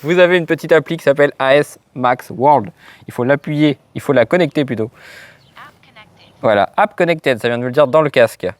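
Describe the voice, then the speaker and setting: A man's voice: fairly high pitch, fairly quick. A man hosting a casual gear demo, talking straight to his viewers. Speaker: motovlog host testing gear. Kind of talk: casual product demo to camera.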